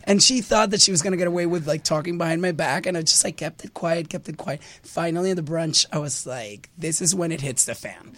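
A man whose voice is recovering after surgeries, telling animated stories in a casual interview. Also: a little high, fairly quick, hardly any pauses, dry recording. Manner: animated storyteller, quotes others in voices. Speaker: reality show guest.

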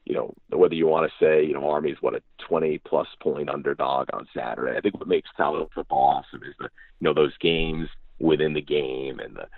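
A man's voice: very deep voice, fairly even intonation, fairly quick, creaky, no room sound. A man, a radio sports announcer, giving a rambling conversational interview over the phone, often repeating himself. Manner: rambling, repeats phrases, frequent "you know". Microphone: phone call. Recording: muffled, narrow phone line.

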